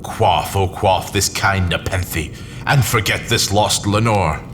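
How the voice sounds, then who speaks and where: A man with a low voice gives a dramatic reading of gothic verse over noisy ambience. His delivery is solemn and brooding, with measured, emphatic phrasing, as a theatrical narrator. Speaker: narrator, poetry reader. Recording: clear background noise and ambience.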